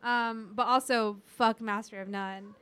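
A woman's voice: on the high side, steady tone, unhurried, a bit bright, clean tone. A woman with an American accent hosting a casual, opinionated podcast chat, speaking bluntly and swearing freely. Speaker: podcast host.

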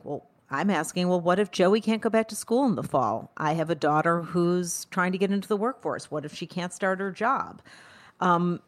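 A middle-aged woman co-hosting a podcast in casual conversation, thinking aloud in quick bursts with frequent restarts. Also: medium pitch. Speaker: podcast co-host.

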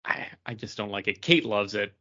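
A man's voice: lowish voice, moderate pace, bright, forceful tone. A man co-hosting a podcast with blunt, joking banter. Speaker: podcast co-host. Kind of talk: casual chat, banter. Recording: dull, muffled audio.

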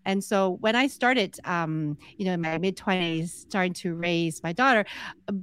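Soft-spoken woman in her forties. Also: lowish voice, smooth. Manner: soft-spoken, measured, explanatory. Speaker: podcast guest, entrepreneur. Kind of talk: casual interview conversation.

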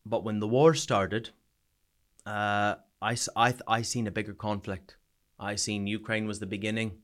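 A man from small-town eastern Canada with a Canadian accent touched by an Irish-sounding twang, telling his story frankly and haltingly as a podcast guest. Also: fairly low pitch, flat delivery, a bit bright. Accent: Canadian mixed with an Irish-sounding twang. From small town in New Brunswick, eastern Canada. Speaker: podcast guest, soldier back from war. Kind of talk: personal interview storytelling.

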